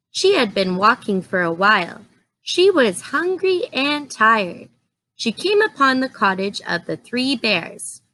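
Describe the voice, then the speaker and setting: A woman storyteller reading a children's story aloud in an expressive, deliberate way. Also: on the high side, poor audio.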